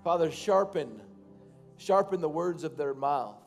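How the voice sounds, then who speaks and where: A man's voice: fairly high pitch, expressive, vocal fry. Pastor guy in his 30s preaching, kinda rambly with lots of restarts, gets fired up praying, faint music behind. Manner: loose, halting, repeats and restarts phrases, rising to fervent prayer. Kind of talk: sermon and congregational prayer. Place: large church stage, indoors.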